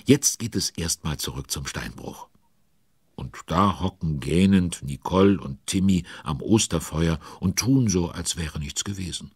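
A man's voice: low voice, lively intonation, a little slow, darkish tone, husky, dry recording, studio quality, exaggerated voice. A man playing a chatty, nosy storyteller, narrating a children's audio drama and speaking directly to the listeners. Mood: storytelling. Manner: conversational, addresses the listeners.

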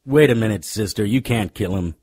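A man's voice: on the low side, a little fast, darkish tone, breathy edge, no echo, clean studio sound. An actor playing a hard-boiled, wisecracking private detective, narrating a noir radio drama in a wry, tough-guy manner. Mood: tough, angry.

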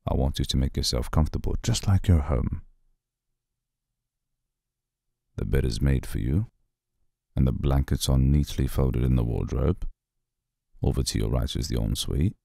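Man playing a gravelly, very deep-voiced vampire lord. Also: lively intonation, mellow, no echo, studio quality, heavily acted. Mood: cool, formal. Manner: slow, deliberate, formal, brooding. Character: aloof, menacing male vampire lord of a castle. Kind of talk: ASMR roleplay.

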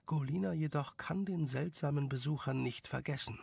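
Creaky-voiced male story narrator. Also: fairly high pitch, moderate pace, darkish tone.

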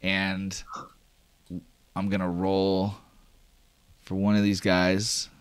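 A deep-voiced man narrates a tabletop game as game master. He rambles loosely, full of fillers and cursing. The recording is dry and close to the mic. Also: monotone, very slow, smooth, no room sound.

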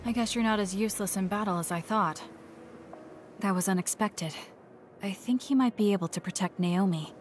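A woman's voice: mid-range voice, moderate pace, noisy background, lightly acted. Woman playing a cool, blunt young female soldier. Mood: cool, curt. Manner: curt, cool, matter-of-fact. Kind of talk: anime-style video game dubbing.

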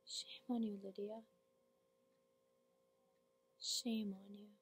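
A woman performing a theatrical monologue as a hurt, defiant, foul-mouthed young working-class woman, repeating herself haltingly as she builds. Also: medium pitch, flat delivery, slightly rough. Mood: hurt, scornful. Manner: halting and repetitive, building to outbursts, swearing.

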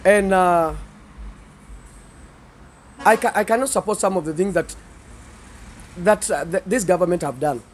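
A middle-aged man with a Kenyan accent, a pastor speaking earnestly and haltingly in an interview, pausing and repeating phrases. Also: high voice. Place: seated indoors in a small studio set. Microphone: handheld interview microphones held by the interviewer.

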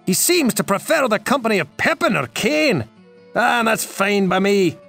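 A hearty villager in a fantasy game, blunt and plain-spoken. His voice sits high, with a gravelly creak and lively swings. He draws out his interjections. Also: normal speed, soft background music, full character voice. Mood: dismissive. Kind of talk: video game character acting.

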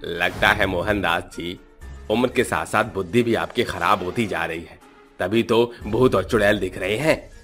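A man's voice: deep voice, normal speed, a bit bright, faint music behind. A man voicing a mocking, dismissive villager in a cartoon story, drawling with condescension.

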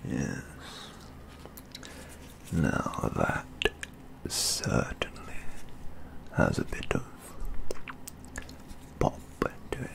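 A young man murmurs close to the microphone in a soft-spoken ASMR roleplay. His breathy, half-whispered voice is extremely deep and heavily creaky. He takes his time, very slowly savouring affected, genteel phrases. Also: huge pitch swings. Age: 20s. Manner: hushed, slow, deliberate, genteel and affected, savouring words. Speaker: ASMR roleplay performer as a model painter.

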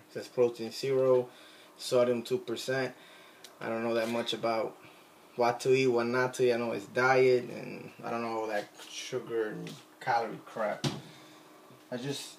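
Casual vlogger, Puerto Rican accent, self-deprecating, roomy kitchen with faint noise behind. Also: male voice, medium pitch, gravelly. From Puerto Rico. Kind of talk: casual chat while cooking. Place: home kitchen, indoors. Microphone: phone camera on counter. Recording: echoey kitchen with faint ambience.

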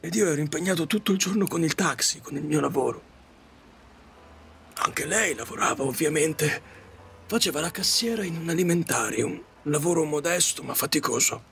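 A man playing a middle-aged widowed taxi driver in an audio drama, confessing his regrets in a halting, repetitive, self-reproaching way. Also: a little high, lively intonation, vocal fry, a bit bright, a little hiss or hum. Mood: grieving, remorseful. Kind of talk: Italian audio drama monologue.

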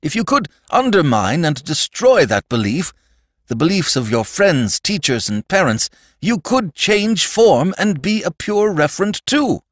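A terrified alien creature from a storybook, acted out by a man, pleading in a high, strained voice that swings widely. He is frantic and urgent, as if desperate to be believed, in a dry, close recording. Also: big pitch swings, a little slow, no echo. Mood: frightened pleading. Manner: frantic, pleading, gasping outbursts. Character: terrified shapeshifting alien mistaken for the Sandman, pleading.

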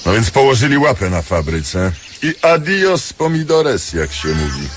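A man's voice: medium pitch, big pitch swings, measured pace, music in the background. A man acting in a radio play as a bankrupt industrialist who poses as a whining beggar, switching between polite speech and folksy wailing. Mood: polite, resigned. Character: bankrupt male industrialist playing a loud, whining deaf beggar.

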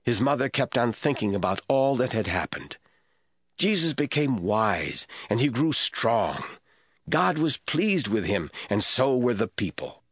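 A man giving measured, scripted Bible narration in a storytelling manner. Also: medium pitch, very animated, fairly bright tone, husky, dry recording, clean studio sound.

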